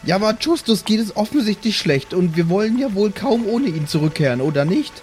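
A prim, protective lady acted by a man in a fantasy audio play. The voice is high and very lively in melody, formal and admonishing, with music faintly behind. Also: brisk.

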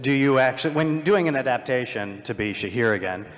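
A man's voice: medium pitch, expressive, a little fast. Rambling man on a convention panel. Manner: rambling, self-deprecating, lots of fillers. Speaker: panelist, filmmaker. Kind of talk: live panel discussion. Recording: long hall reverb.

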